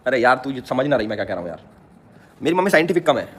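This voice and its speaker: A man's voice: mid-range voice, very animated, racing, a bit bright. A man, chatting and laughing with his mother in a casual vlog. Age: 20s. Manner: joking, laughs often, addresses viewers as brothers. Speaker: vlogger. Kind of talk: casual family vlog chat.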